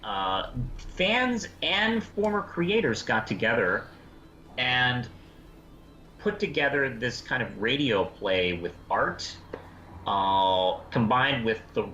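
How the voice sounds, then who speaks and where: Guy explaining stuff on a review, halting, lively pitch, muffled echoey sound. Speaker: review show co-host. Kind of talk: casual explanatory chat. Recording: dull, roomy sound with faint noise.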